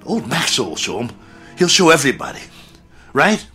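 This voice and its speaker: A man's voice: mid-range voice, wildly swooping pitch, moderate pace, sharp tone, husky, soft background music, heavily acted. An actor playing a battered male fight manager in an old radio drama, stubborn and rambling while in pain. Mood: hopeful.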